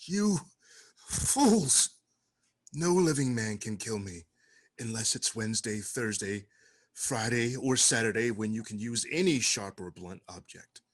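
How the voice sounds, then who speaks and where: A man's voice: on the low side, lively intonation, a little slow. A man playing a gloating villain necromancer in a comic fantasy table read, mock-grand and melodramatic. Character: Dark Red, gloating theatrical villain necromancer.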